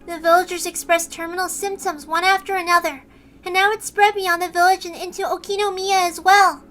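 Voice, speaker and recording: A woman's voice: very high-pitched, steady tone, brisk, a bit bright, faint music behind, pitched above the performer's own voice. A woman voicing a grave, cool-headed young girl in a visual novel story, answering in a knowing way. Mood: grim, matter-of-fact.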